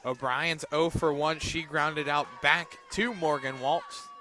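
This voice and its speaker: A man doing live play-by-play softball commentary for radio, with clipped, terse calls that lift on big plays. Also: a little high, fairly even intonation, slow pace, background noise. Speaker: play-by-play sportscaster.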